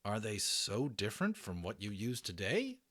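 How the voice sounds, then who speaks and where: A man's voice: fairly low pitch, huge pitch swings, moderate pace, a bit bright, no echo, heavily acted. A man voicing an earnest young boy who pleads desperately, in a children's storybook.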